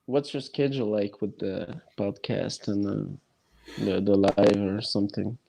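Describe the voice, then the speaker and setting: A man who speaks English as a non-native language, hosting a casual podcast chat and talking haltingly with many restarts. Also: fairly low pitch, creaky, warm tone, rough recording. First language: neither English nor French.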